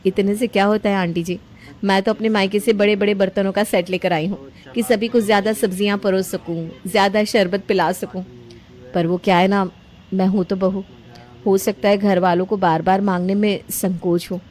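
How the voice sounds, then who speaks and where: A woman voicing a scheming, sharp-tongued young daughter-in-law who complains and manipulates in a story drama. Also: mid-range voice, fairly even intonation, normal speed, natural voice. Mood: sweet, boastful.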